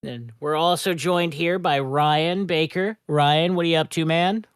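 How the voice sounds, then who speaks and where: A man's voice: on the high side, unhurried, smooth, no echo, studio quality. A young man hosting a casual podcast chat, easygoing, calling everyone bud. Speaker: podcast host.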